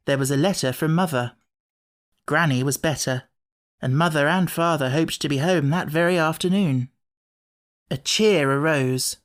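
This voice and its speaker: A woman reading a children's storybook as scripted narration. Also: sits low. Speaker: audiobook narrator.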